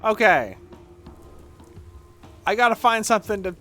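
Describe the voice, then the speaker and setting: A man streaming a game, giving playful, excitable commentary as he plays. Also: high voice, unhurried, faint music behind. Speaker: gaming streamer. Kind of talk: let's play commentary.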